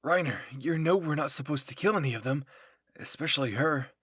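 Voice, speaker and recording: An anxious young soldier weighed down by guilt, acted out by a man reading fan fiction. He pleads with emphasis in a gravelly, husky voice, recorded dry. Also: mid-range voice, steady tone, takes it slowly, no room sound, exaggerated voice. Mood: worried.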